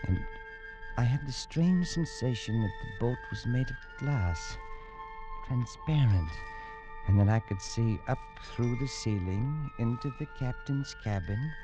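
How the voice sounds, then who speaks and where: A man's voice: mid-range voice, animated, measured pace, lightly acted. An actor playing a nervous, haunted adventurer who narrates his torment in an agitated, stammering way, in old-time radio drama style. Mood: haunted, brooding. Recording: dull vintage radio recording, music behind. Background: dramatic music.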